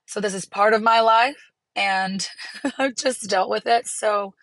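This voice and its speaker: A hoarse woman, hosting her podcast from a parked car in an earnest, encouraging way. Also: mid-range voice, takes it slowly, a bit bright, dry recording. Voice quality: raspy. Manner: earnest, encouraging, rambling with restarts. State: hoarse from laryngitis. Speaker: podcast host. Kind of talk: casual solo podcast talk.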